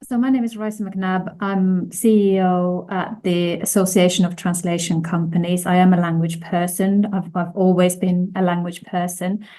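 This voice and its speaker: Low, mellow woman presenting. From Finland. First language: Finnish. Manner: measured, with frequent restarts. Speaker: conference speaker. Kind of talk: conference presentation.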